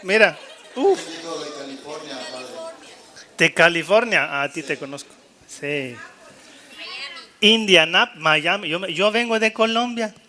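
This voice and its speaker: High-pitched, bright-voiced male priest preaching. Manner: jokey and animated, mimicking doubtful priests, rallying listeners. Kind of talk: live sermon-style talk to a gathered congregation.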